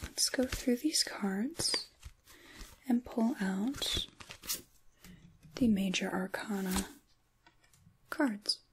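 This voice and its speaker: A woman playing a soothing, mysterious witch in an ASMR tarot roleplay, speaking softly and half-whispering with pauses, close to the microphone. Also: mid-range voice, heavy background noise. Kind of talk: soft-spoken ASMR roleplay, tarot reading.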